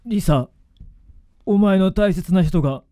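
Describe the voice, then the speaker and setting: A man's voice: high voice, takes it slowly, warm tone, dry recording. An actor playing a grave, apologetic middle-aged father full of remorse in a radio drama. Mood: grave, remorseful. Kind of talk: Japanese radio drama acting.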